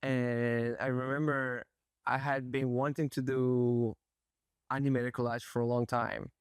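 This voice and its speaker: Thoughtful soft-spoken young man. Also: medium pitch, level tone, unhurried, smooth, dry recording, clean studio sound. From Spain. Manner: soft-spoken, thoughtful, hesitant, frequent fillers. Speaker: artist. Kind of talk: casual interview. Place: art gallery.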